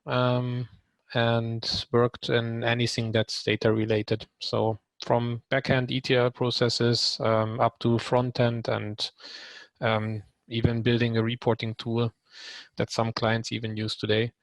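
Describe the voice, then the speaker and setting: A man from Hungary, likely in his thirties, introducing himself on a video call with many pauses and ums. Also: mid-range voice, completely flat delivery, no room sound.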